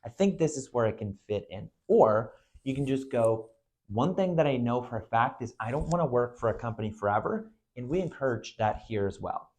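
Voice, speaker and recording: A man's voice: mid-range voice, animated, a little fast, darkish tone. A man from Venezuela in his twenties, an agency owner speaking earnestly in a recruitment video, with frequent "you know" and "right" fillers.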